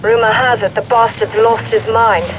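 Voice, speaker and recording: An actor playing an urgent resistance contact delivering a battle briefing in a video game. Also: male voice, sits high, level tone, normal speed, highs cut off, background noise, close to an everyday voice. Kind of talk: dramatic video game cutscene acting. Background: loud music.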